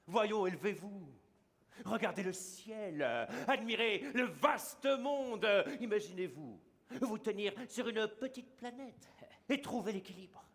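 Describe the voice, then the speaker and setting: Brief: high-pitched man, emphatic and exhorting, long hall reverb. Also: lively intonation, unhurried. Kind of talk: theatrical declamation.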